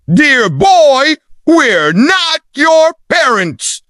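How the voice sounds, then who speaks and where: Casting: female reader as a grandiose silly monster, very slow and swooping, full of mock menace. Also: high voice, very animated, fairly bright tone, dry recording, studio quality, full character voice. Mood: pompous, offended. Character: pompous would-be fearsome male monster visitor. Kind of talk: children's storybook read-aloud.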